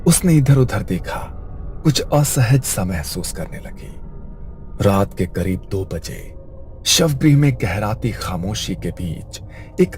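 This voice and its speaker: A man narrating a scripted horror story in a suspenseful storytelling manner. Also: fairly low pitch.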